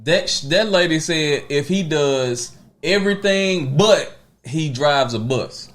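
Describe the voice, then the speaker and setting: A man's voice: fairly high pitch, animated, takes it slowly, a bit bright. A man from Louisiana, chatting and bantering casually as a podcast co-host. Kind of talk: casual bantering chat with slang.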